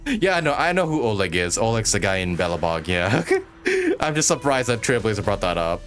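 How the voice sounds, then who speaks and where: A man's voice: lowish voice, sing-song, fairly quick, fairly bright tone, faint music behind. A man livestreaming casual gameplay commentary in an irreverent, profane, joking manner.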